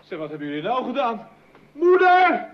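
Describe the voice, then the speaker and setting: High-voiced aggrieved son. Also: male voice, huge pitch swings, moderate pace, full character voice. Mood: indignant. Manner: indignant, stammering. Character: aggrieved, whiny young artist son. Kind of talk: old radio comedy acting. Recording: muffled, noisy old radio recording.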